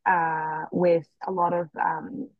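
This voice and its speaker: Woman, young adult, from the Dominican Republic, hesitant relaxed speech, husky, dry sound. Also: lowish voice, slow pace, no room sound, phone-quality sound. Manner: relaxed, hesitant with fillers and restarts. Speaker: interviewee. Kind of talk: casual conversational interview.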